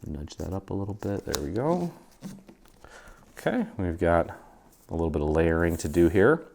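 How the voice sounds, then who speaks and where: Low-pitched American man, casual craft host. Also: big pitch swings, moderate pace, creaky, darkish tone, a little hiss or hum. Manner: informal, easygoing instruction. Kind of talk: casual step-by-step craft tutorial. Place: indoor craft studio.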